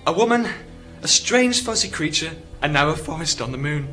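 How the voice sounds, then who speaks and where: An actor with a British accent playing a lonely, stranded astronaut in a radio drama, anxious, halting and incredulous. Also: male voice, on the high side, expressive, pushed and bright. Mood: startled, incredulous. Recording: prominent music behind the voice.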